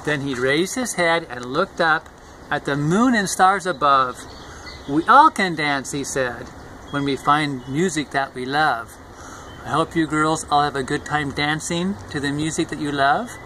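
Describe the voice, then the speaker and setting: A man's voice: on the high side, a little slow, bright, forceful tone, low-quality recording. An older man, a grandfather, reads a rhyming children's picture book aloud outdoors. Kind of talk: rhyming storybook read-aloud. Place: outdoors in a park. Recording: outdoor recording with faint traffic noise. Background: faint outdoor and vehicle noise.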